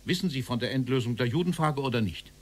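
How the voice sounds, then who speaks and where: Guy acting as a stern prosecutor in a radio play, husky voice, formal, keeps pressing and accusing. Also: mid-range voice, lively intonation, fast talker, natural voice. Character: stern, relentless male prosecutor interrogating a Nazi official. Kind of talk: German documentary radio play interrogation.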